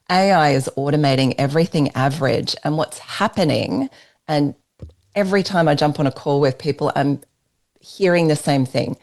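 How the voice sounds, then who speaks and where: Australian woman running a business workshop, low voice with lots of fry, pushy salesy delivery. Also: a little mellow. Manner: emphatic, persuasive sales-pitch delivery. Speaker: business strategist leading a workshop. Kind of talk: live workshop presentation with slides.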